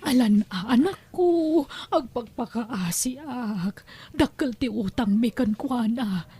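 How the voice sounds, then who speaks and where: A woman's voice: a little high, animated, takes it slowly, mellow, breathy edge, full character voice. A woman acting a pleading, insistent middle-aged mother burdened by debt in an Ilocano audio drama, with wheedling delivery.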